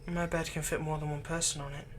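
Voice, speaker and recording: A man playing a possessive young fantasy villain in an ASMR roleplay, tenderly soothing a captive in a soft voice that often turns breathy. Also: fairly high pitch, flat delivery, quick pace, a little hiss or hum, lightly acted. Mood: gentle, reassuring. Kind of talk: ASMR roleplay, intimate scripted monologue.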